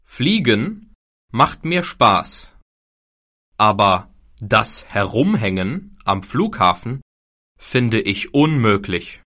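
A man from Germany speaks slowly and in a friendly way for a language exam recording. His voice is lowish but lively and melodic, with a bright, forceful edge. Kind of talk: slow scripted exam dialogue.

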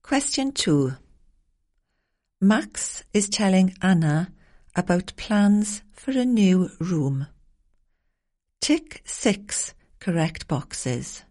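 Slow, formal exam narrator woman. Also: mid-range voice, sing-song, dark tone. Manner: measured, formal announcing.

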